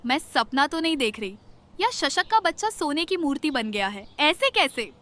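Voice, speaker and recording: A woman playing a greedy, nagging village wife with a sharp tongue in a cartoon moral story. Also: high-pitched, very animated, moderate pace, full character voice. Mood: amazed. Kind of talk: animated moral story acting.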